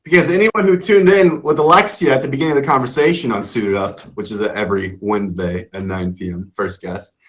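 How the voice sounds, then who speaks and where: A man's voice: fairly low pitch, steady tone, a little fast, few pauses, a bit bright, poor audio. A man hosting an interview show, asking questions in a playful, joking way. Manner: upbeat, playful, jokey. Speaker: host. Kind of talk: casual interview.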